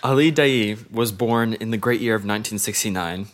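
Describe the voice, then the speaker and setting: A man co-hosting a casual sports podcast, reading out player stats with a loose, jokey manner and halting restarts. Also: lowish voice, slight background noise. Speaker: podcast co-host.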